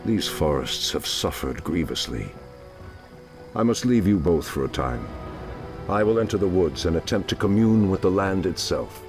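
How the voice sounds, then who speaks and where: A very deep, noble elven elder. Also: male voice, expressive, normal speed, over music. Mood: grave. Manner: grave, authoritative, formal. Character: noble, solemn ancient night elf druid leader. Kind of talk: dramatic video game cutscene acting.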